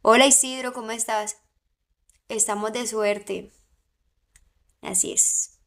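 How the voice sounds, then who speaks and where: A woman's voice: on the high side, steady tone, slow, a bit bright. A young Colombian woman with a mixed coastal and Santander accent, chatting playfully with viewers on a phone livestream. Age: young adult.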